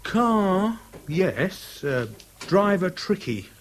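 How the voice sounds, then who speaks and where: An actor playing an obliging, apologetic car-hire manager in a radio drama, with an English accent and clipped, hesitant phrasing. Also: male voice, sits high, sing-song, drawn-out words, a little hiss or hum, exaggerated voice. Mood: businesslike, obliging. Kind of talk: 1970s British radio drama acting.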